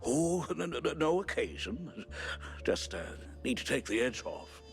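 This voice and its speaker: An actor playing a nervous, stammering politician in a noir cartoon game, hesitant and often trailing off. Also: male voice, medium pitch, very animated, unhurried, faint music behind. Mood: uneasy, weary. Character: nervous, stammering mouse politician, an old friend drowning regrets.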